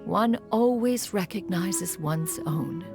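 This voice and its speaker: A proud, formal ancient crane immortal. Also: female voice, fairly low pitch, slow pace, gravelly. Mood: fond, stately. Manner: formal, archaic, imperious, calls herself "one". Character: proud, ancient female crane adeptus, stern yet caring. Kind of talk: scripted video game story narration. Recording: game music behind the voice.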